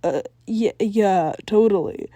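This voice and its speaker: A woman's voice: medium pitch, slow, warm tone, no room sound. A woman voicing a startled, slightly dopey teenage boy in a story reading, incredulous and stammering. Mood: stammering, evasive.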